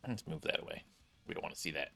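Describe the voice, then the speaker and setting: A young man doing casual game commentary, reading on-screen text aloud and thinking out loud. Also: low voice, big pitch swings, fast, vocal fry, faint music behind. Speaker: gaming streamer.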